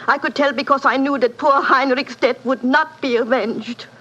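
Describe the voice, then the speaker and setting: An actress playing a grieving, bitter widow in a 1940s radio drama, intense. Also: female voice, high-pitched, steady tone, moderate pace, a bit bright. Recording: noisy muffled vintage radio broadcast.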